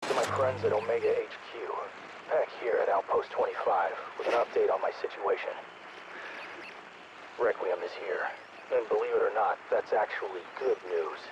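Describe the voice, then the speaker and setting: An actor playing a smug, self-serving outpost scientist in a video game, theatrical and exasperated, heard through a radio filter. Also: male voice, on the low side. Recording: muffled noisy radio transmission.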